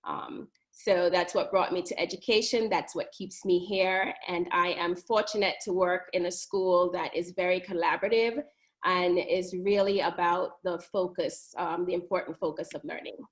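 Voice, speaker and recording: A woman from New York, a high school principal, speaking in measured, earnest webinar remarks with frequent pauses over a video call. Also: fairly even intonation.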